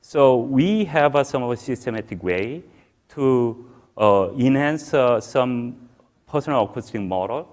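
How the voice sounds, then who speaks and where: A man in his forties with a Korean accent, a native Korean speaker giving a halting technical talk on a conference stage, with many fillers. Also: medium pitch, expressive, darkish tone. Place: large conference hall stage.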